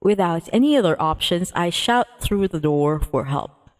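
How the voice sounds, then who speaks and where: Woman reading aloud with animated, swooping pitch. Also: moderate pace. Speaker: livestreamer reading a visual novel aloud. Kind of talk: expressive read-aloud with casual chat asides. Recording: music behind the voice. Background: music.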